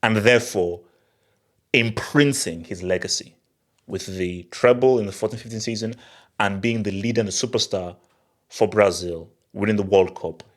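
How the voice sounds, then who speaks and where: A man's voice: on the low side, normal speed. Theatrical man doing football commentary. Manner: theatrical, emphatic, repeats phrases for effect. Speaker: host of a football channel. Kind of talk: animated solo football commentary to camera.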